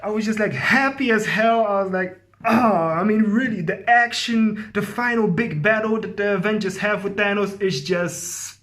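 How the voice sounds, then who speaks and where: A man's voice: high voice, moderate pace, a bit bright. A man giving a casual, effusive movie review to viewers, rambling and often repeating himself. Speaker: film review host.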